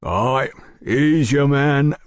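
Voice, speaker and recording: A man voicing a fat, gruff pub proprietor who blusters loudly, in a storybook reading. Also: medium pitch, drawn-out words, no room sound, studio quality, pitched above the performer's own voice. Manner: loud, blustering.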